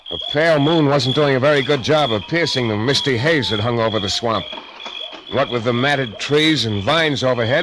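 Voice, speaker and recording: An actor with an American accent playing a wry, hardboiled private detective in an old radio drama, dry and wisecracking. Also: male voice, medium pitch, background noise, close to an everyday voice. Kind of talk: old-time radio drama acting with first-person narration.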